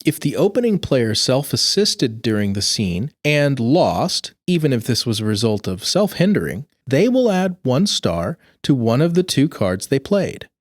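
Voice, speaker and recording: A man's voice: mid-range voice, very animated, a little slow, few pauses, no room sound, clean studio sound. A man reading scripted rules aloud in a precise, instructional manner. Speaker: co-host reading game rules. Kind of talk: scripted rulebook narration.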